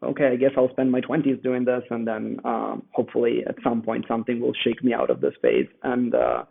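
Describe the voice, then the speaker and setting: A man's voice: medium pitch, expressive, fairly quick, gravelly. A man whose first language is Italian, speaking thoughtfully as a guest author, with many fillers and restarts, on a remote call. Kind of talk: conversational interview. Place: home in Rome. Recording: tinny phone-like remote call.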